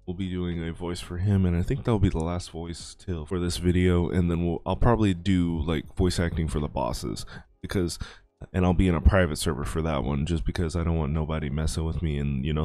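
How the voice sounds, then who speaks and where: A man's voice: deep voice, a little mellow, no room sound. A man, a YouTuber and aspiring voice actor, rambling casually while playing a game. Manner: rambling, chatty, self-deprecating. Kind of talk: casual gameplay commentary.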